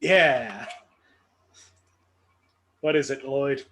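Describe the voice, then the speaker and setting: A man's voice: mid-range voice, extremely slow, bright, forceful tone, a little hiss or hum. A man with a Midwestern accent hosting a trivia game, reading clues with playful, dry humor. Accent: Midwestern American. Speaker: game show host.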